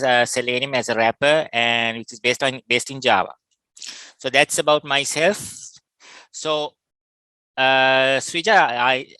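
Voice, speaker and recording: A Sri Lankan man presents an online technical workshop in a clear, forward voice. He explains informally and haltingly, and the sound is dry with very little room. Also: medium pitch, pushed and bright, no room sound, poor audio. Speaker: workshop speaker, test automation specialist.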